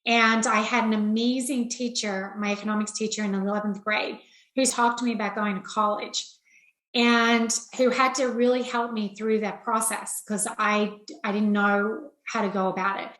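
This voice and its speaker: A woman's voice: medium pitch, steady tone, normal speed, fairly bright tone. A middle-aged woman with an Australian accent, speaking candidly and plainly as a business executive being interviewed. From rural Australia. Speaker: interview guest, investment banking executive. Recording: roomy, echoey sound.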